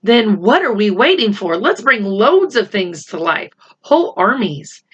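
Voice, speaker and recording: A woman voicing an excited, impatient young boy, full of eager questions, in a children's storybook reading. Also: mid-range voice, measured pace, breathy edge. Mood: excited, incredulous.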